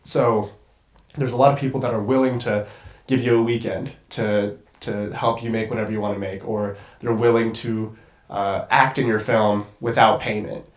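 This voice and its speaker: A man's voice: on the low side, little pitch movement, normal speed, reverberant. A congested young man talking as a guest cinematographer in a casual conversation, rambling and swearing casually. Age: young adult.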